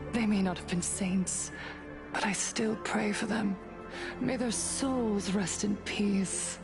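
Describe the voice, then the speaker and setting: A woman's voice: mid-range voice, creaky, over music. A woman playing a serene cult leader in a video game, preaching solemnly and theatrically. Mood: mournful, pious. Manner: slow, solemn, preaching delivery.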